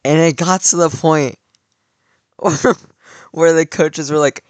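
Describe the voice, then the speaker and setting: A man's voice: fairly high pitch, steady tone, takes it slowly, no room sound. A young man in his late teens tells a story in a rambling, casual way, full of "like" and restarts. His voice is a little worn from cheering. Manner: rambling, many "like" fillers and restarts. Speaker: vlogger.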